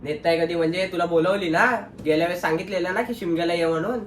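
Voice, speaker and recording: A man's voice: on the high side, steady tone, fast talker. A young man with a Konkan Marathi accent, chatting casually in a playful, teasing, bantering way. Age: young adult. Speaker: vlogger making a phone call. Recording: roomy echo, faint background noise.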